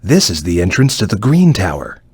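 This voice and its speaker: A man voicing a stern, watchful cartoon ninja guide in a video game, with a measured, formal, cautious way of advising. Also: low-pitched, sing-song, a little mellow, slightly rough, dry recording, studio quality, full character voice. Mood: cautious, instructive. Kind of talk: video game voice acting, short hint lines.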